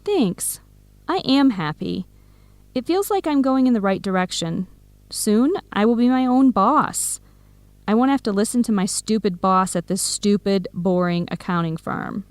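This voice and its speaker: A woman's voice: medium pitch, expressive, measured pace, no echo, natural voice. Actress as young woman, outspoken best friend, idealistic, emphatic delivery, radio drama, close dry recording. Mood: upbeat, earnest. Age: young adult. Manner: frank, emphatic. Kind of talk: educational radio drama dialogue.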